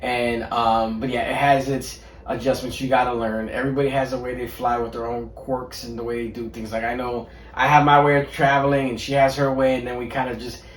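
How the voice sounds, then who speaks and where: A man's voice: mid-range voice, level tone, fairly quick, few pauses, far from the mic. A man in his thirties co-hosting a podcast, chatting casually and jokingly, often restarting his sentences. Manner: laid-back, joking, rambling with repeated restarts. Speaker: podcast co-host. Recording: echoey room, voice a bit off-mic with faint background hum.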